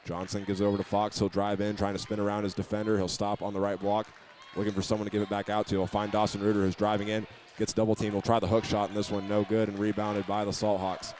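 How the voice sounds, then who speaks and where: Male American basketball announcer, very even delivery, steady move-by-move live call over clearly audible gym noise. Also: lowish voice, quick pace. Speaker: play-by-play sports announcer. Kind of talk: live basketball radio commentary. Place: basketball game in a gymnasium.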